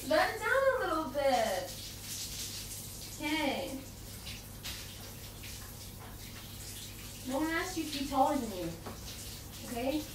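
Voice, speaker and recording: High-pitched girlish girlfriend in an echoey bathroom, acted by a woman. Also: fairly bright tone, heavily acted. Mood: teasing. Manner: giggly, coaxing, unhurried murmuring with pauses. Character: playful, affectionate, teasing young girlfriend. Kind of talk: intimate girlfriend roleplay ASMR. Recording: echoey, distant, noisy with running bathwater.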